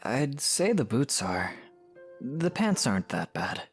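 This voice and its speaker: A man's voice: medium pitch, very animated, takes it slowly. A man playing a kind, easygoing young adventurer with a casual, reassuring manner in a fan audio drama. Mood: matter-of-fact. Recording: music under the voice.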